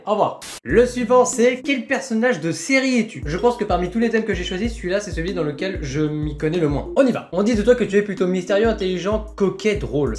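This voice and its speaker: A man's voice: high voice, slight background noise. A young man casually reading out online quizzes and commenting on them in a playful, self-mocking way. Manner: playful, self-mocking, animated with mock-dramatic asides. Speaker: online video host.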